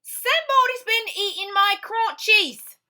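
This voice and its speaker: A woman voicing the father in a read-aloud picture book, booming out his lines. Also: animated, a little slow, sharp tone, heavily acted. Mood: alarmed. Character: shouting storybook father bear type, man.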